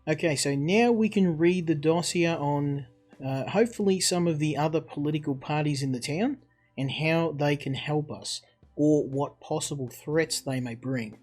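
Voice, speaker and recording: A man with a fairly high voice gives commentary on a game as he plays. He moves between his own remarks and reading text aloud. Guitar music plays quietly behind him. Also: normal speed. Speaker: game reviewer.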